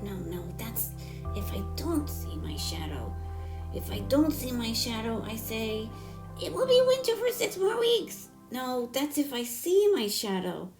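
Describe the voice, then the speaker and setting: A woman voicing an excitable, boastful young boy groundhog in a children's storybook. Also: on the high side, unhurried, soft background music, pitched above the performer's own voice, rough recording. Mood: muddled, musing. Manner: excitable, crowing, self-important.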